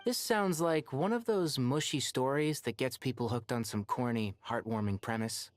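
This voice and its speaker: A woman's voice: rumbling low, moderate pace, slight background noise. A woman voicing an exasperated, cynical adult in a video game, dry and sardonic.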